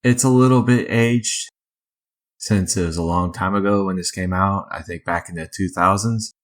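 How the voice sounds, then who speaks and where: A man with a fairly low voice hosts a casual ranking video. He talks informally, giving his own opinions in a conversational review style with small qualifying asides. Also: moderate pace. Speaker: video host ranking films.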